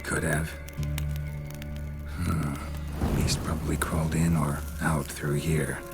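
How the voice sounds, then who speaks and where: Very deep, gruff monster hunter. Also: male voice, slow, loud music behind the voice, exaggerated voice. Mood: gruff, matter-of-fact. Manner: clipped, laconic, deadpan. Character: gruff, terse, stoic male monster hunter. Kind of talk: video game voice acting.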